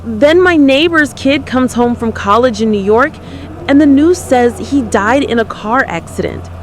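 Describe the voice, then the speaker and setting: A woman playing an earnest, fearful conspiracy-believing radio caller with an American accent, halting and insistent. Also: fairly high pitch, animated, a little hiss or hum. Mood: convinced, ominous. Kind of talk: dramatised radio call-in testimony.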